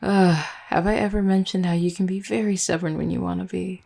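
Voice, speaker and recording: A woman's voice: fairly low pitch, level tone, fairly quick, vocal fry, warm tone. A woman playing an affectionate, teasing vampire girlfriend in an intimate roleplay audio, speaking in a tender, conversational way.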